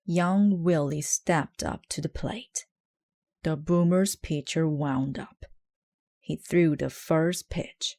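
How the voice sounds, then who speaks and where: Female children's story reader, lowish pitch, very unhurried delivery, dry sound. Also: darkish tone, no echo. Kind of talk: read-aloud children's story narration.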